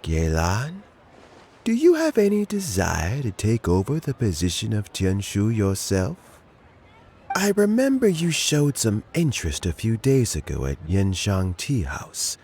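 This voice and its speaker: An older man playing a wise, measured elder adviser in a video game, deliberate, sage and expressive. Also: fairly low pitch, a little hiss or hum, full character voice. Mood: grave, inquiring.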